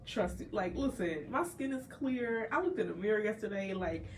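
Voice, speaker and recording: A woman's voice: medium pitch, lively intonation, normal speed, darkish tone, a little hiss or hum. A young woman hosting a casual talk for a live audience, nasal and congested from a cold, chatty and earnest with many restarts. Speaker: host. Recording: a little distant from the mic, faint background ambience.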